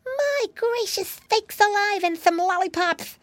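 A woman voicing an excitable, polite little boy piglet in a storybook, eager and exclamatory. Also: very high-pitched, unhurried, no room sound, voice pushed up, heavily acted. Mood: excited.

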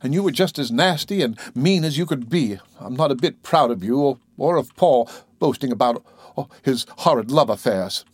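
A man reading an audiobook voices a calm wife who gently scolds her husband. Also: mid-range voice, normal speed, breathy edge, no echo. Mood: reproachful.

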